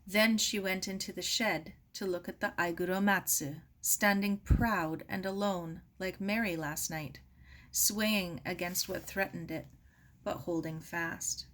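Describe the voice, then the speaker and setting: A woman reading a children's novel aloud as expressive scripted narration. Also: fairly low pitch, unhurried. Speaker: audiobook reader.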